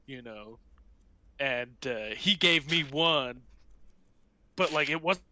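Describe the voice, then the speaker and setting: A man chatting casually as a player in a tabletop roleplaying game, in a halting, rambling way. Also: medium pitch, animated, bright, forceful tone, no echo.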